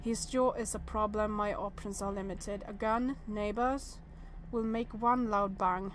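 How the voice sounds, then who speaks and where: A woman game streamer reading a visual novel aloud with casual commentary, often hesitant and thinking out loud in fragments. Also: mid-range voice, steady tone, unhurried, a little hiss or hum.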